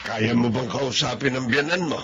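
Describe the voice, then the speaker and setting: A man playing a concerned older father in a Filipino radio drama, giving advice in a measured, emphatic and principled manner. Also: medium pitch, loud music behind the voice, lightly acted. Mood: concerned, admonishing. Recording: dull lo-fi audio with faint noise.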